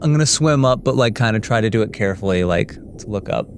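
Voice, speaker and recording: Male tabletop player, lowish voice, casual conversational delivery, faint ambience behind. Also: expressive, fast, slight background noise. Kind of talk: casual chat during role-play.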